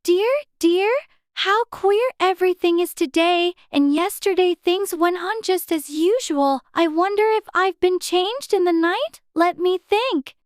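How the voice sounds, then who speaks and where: A woman's voice: a little slow, no room sound, clean studio sound. A woman voicing a curious little girl who chatters and exclaims to herself in a dramatized storybook audiobook. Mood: puzzled. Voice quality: childlike, very high voice. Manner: talks to herself, musing and exclaiming.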